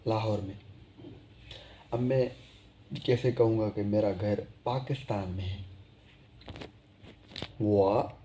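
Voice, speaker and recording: Slow, warm man teaching. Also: on the low side, steady tone, slight background noise. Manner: slow, repeats each phrase for drilling. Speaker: Chinese language teacher. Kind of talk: online language lesson.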